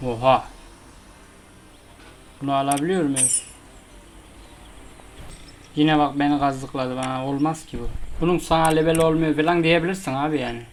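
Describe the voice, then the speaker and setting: A man's voice: a little high, steady tone, normal speed, long pauses, slight background noise. A man hosting a gaming video, giving casual, slangy commentary with playful exclamations and improvised role-play. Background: loud plucked-string game music.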